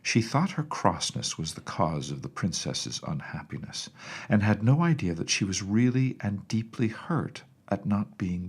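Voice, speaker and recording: A man with a low, creaky voice narrates a children's storybook. His reading is expressive, with pitch that moves widely from phrase to phrase. It is the measured delivery of an audiobook narrator.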